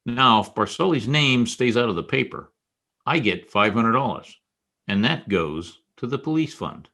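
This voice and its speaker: A man's voice: mid-range voice, expressive, moderate pace, bright, forceful tone. A man playing a wry, hard-boiled, dogged private detective in an old-time radio style drama. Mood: persuasive, businesslike.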